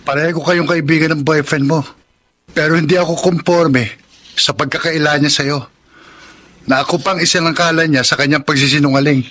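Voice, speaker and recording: A young Filipino man in a radio romance drama, the frank friend of the couple. His voice sits a bit high for a man and is delivered with dramatic sincerity. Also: steady tone, fairly quick, a bit bright. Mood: earnest, apologetic. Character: young man, teasing but honest, aggrieved friend. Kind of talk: Tagalog radio drama acting.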